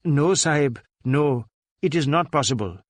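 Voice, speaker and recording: A man's voice: fairly high pitch, expressive, a little slow, no echo, clean studio sound. A man voicing a dutiful, deferential Indian police inspector in an audiobook, formal and stubbornly earnest, with an Indian accent. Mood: earnest refusal. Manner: formal, stiffly polite, officialese.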